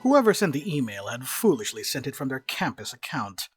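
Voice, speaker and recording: Expressive male narrator, very lively range. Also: mid-range voice, a little fast, slightly rough, dry recording, studio quality, faint music behind. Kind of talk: scripted dramatic narration.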